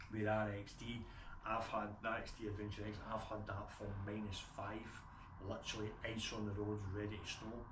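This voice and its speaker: A man with a broad Scottish accent giving a casual, unscripted gear review in a plain-spoken way. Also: fairly low pitch. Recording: roomy echo, distant mic, clear background noise.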